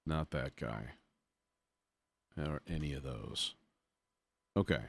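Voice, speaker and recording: A man's voice: extremely low pitch, slow, creaky, fairly bright tone. A man hosting a casual live music production demo, muttering as he thinks aloud with folksy phrasing. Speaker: music production tutorial host.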